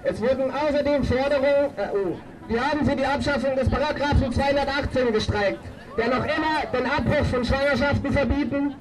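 A woman's voice: high voice, a little fast. A woman speaking as a feminist activist at an outdoor demonstration, delivering a combative, declamatory political speech. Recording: distant outdoor microphone, loud street noise.

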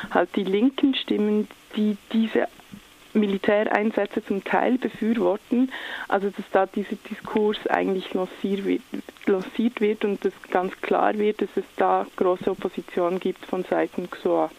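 A woman's voice: mid-range voice, steady tone, unhurried, creaky, darkish tone, low-quality recording. A woman with a Swiss German accent, speaking as an activist spokesperson in a radio interview, somewhat hesitant as she searches for words. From Switzerland.

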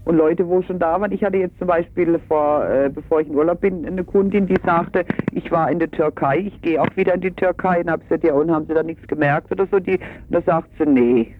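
A man's voice: on the high side, a little fast, hardly any pauses. A man giving hesitant, colloquial interview answers over the telephone. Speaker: travel agency employee being interviewed. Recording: tinny, narrow phone line.

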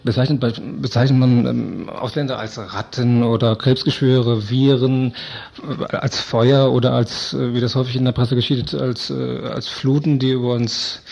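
A man answering as an interviewed expert, speaking in a deliberate, academic and hesitant way. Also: medium pitch, fairly even intonation, vocal fry, darkish tone. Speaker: interviewed expert on media and political discourse. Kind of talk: explanatory radio interview. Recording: dull, lo-fi radio recording.

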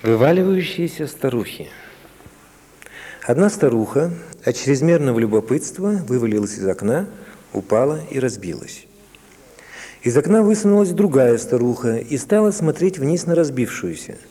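A man's voice: mid-range voice, very animated, moderate pace, warm tone, slightly rough. A man reading literary prose aloud, in a long reverberant recording. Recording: long reverberant hall sound.

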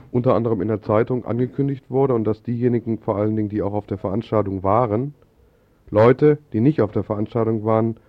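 A man speaks as a radio host, making a live announcement at an ordinary pitch. The sound is thin and phone-like, like an old 1980s broadcast tape. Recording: tinny band-limited 1980s radio broadcast.

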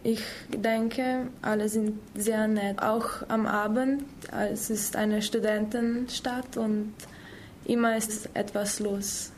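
An eighteen-year-old woman from Slovenia, a language student calmly recounting her arrival in a casual interview. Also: medium pitch, slow pace, a little hiss or hum.

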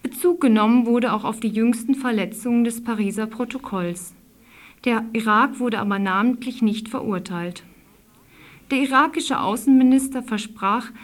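Female news reader, slightly high voice, formal scripted radio delivery. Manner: formal, reading from script with occasional slips. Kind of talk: scripted news report, read aloud.

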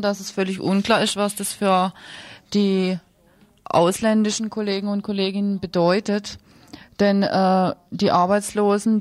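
Woman on radio adding unscripted comment, serious, bit halting, even pitch, dry sound. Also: no room sound. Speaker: radio news presenter.

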